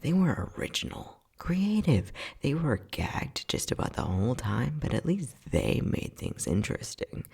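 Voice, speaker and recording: A man's voice: medium pitch, very animated, measured pace, creaky, hardly any pauses, a little mellow, breathy edge, heavily acted. A man playing an unhinged, bossy scientist who teases and condescends in a sardonic ASMR roleplay. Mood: mocking, theatrical. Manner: sardonic, teasing, condescending, with frequent sighs.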